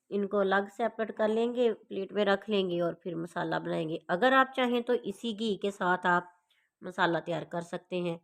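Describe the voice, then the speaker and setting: Woman with a sore throat, teaching. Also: mid-range voice. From Pakistan. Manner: instructional, addresses viewers directly. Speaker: home cooking channel host. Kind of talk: casual step-by-step recipe narration.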